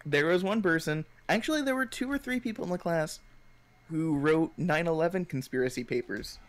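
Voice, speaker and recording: A young man chatting casually on a live stream, telling stories in a rambling, halting way full of filler words. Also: on the high side. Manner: rambling, halting storytelling peppered with 'like'. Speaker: stream co-host.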